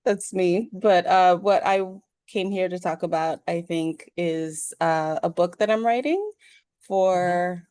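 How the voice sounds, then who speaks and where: A woman from New York, born in Peru, telling her personal story as an interview guest in a hesitant, halting way. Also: lowish voice, a little mellow, clear, resonant tone, no echo. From New York, USA, born in Peru. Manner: hesitant, halting, thinking as she speaks. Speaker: podcast guest.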